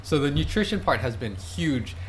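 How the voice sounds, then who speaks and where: A man who is a fitness and nutrition coach, explaining calmly and affirmingly in a casual conversation. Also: a little high, normal speed, slight background noise, poor audio.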